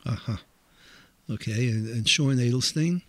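A man's voice: medium pitch, measured pace, no room sound. A man hosting a radio interview, speaking hesitantly, with many "uh"s and "um"s. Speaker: radio host.

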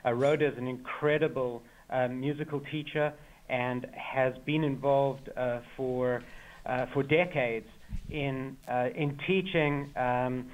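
An effusive man with a South African accent, talking eagerly as a guest on a radio show. His voice sits at an ordinary middle pitch, and he piles on warm praise while a few filler sounds break up his sentences. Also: steady tone, slow pace, dull audio. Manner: enthusiastic, effusive. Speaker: choir member, guest. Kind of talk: radio interview.

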